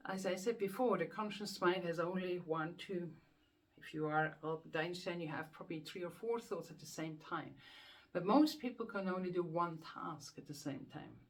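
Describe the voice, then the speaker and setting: A woman's voice: lowish voice, moderate pace, rough recording. A woman with a Swiss accent, a hypnotherapist explaining the subconscious mind to camera in an earnest, repetitive way with rhetorical questions. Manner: earnest, repeats words while thinking, rhetorical questions. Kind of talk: self-help explainer to camera.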